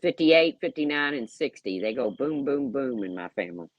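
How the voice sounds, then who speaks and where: A woman's voice: a bass voice, expressive, moderate pace, dry recording, dull audio. Blunt, sarcastic woman in her fifties. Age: 50s. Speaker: YouTube livestream host. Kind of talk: casual livestream rant, answering chat.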